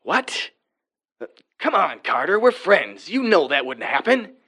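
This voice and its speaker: An actor playing a friendly, apologetic record dealer in an audio drama, casual and a little hesitant. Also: male voice, high-pitched, sing-song, unhurried, bright, forceful tone, heavily acted. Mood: friendly, uneasy.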